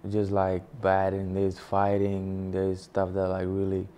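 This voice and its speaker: Low, monotone young Senegalese man. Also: measured pace, smooth. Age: young adult. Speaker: college basketball player. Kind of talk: casual interview answers.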